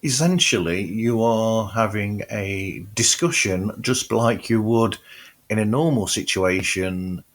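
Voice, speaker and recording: A man's voice: lowish voice, takes it slowly. A man hosting a podcast, chatting casually and agreeably, often repeating words as he gathers his thoughts. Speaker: podcast host.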